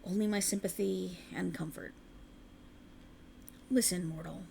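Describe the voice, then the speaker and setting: Woman performing an ancient faerie enchantress for ASMR roleplay: creaky voice, courtly old-world phrasing, soothing, possessive, ambient noise underneath. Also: on the low side, steady tone, measured pace, dark tone. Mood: indignant, comforting. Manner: soothing, formal archaic phrasing, coaxing. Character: ancient alluring female faerie, tender yet sinisterly possessive.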